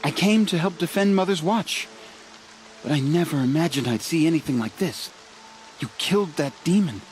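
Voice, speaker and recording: A man acting a gentle, awed young tribesman in a video game cutscene. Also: a little high, animated, normal speed, soft background music, natural voice.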